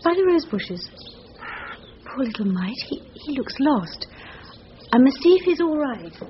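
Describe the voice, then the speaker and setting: A high-pitched British woman in a radio thriller, a grieving and anxious wife. She speaks in fretful, hesitant phrases that repeat. The recording is muffled, with faint noise. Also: big pitch swings, a little slow. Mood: wistful. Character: anxious, grieving young mother and wife, easily frightened.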